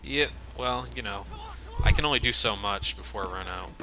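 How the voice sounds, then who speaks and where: A young man giving relaxed, casual commentary over a game, swearing freely and laughing often. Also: on the low side, normal speed. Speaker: let's play commentator. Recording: game gunfire noise behind. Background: game gunfire and ambience.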